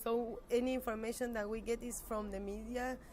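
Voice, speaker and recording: A woman's voice: fairly high pitch, fairly even intonation, brisk, mellow. A young adult woman with an Argentine accent, giving hesitant, thoughtful answers in an informal interview. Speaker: master's student interviewee.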